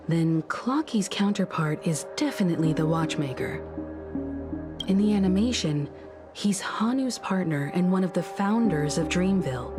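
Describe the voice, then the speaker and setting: A composed young woman in a video game. Her voice is on the low side, and she reasons aloud in a measured, analytical manner over clearly audible music. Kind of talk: English video game voice acting. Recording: music behind the voice. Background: background music.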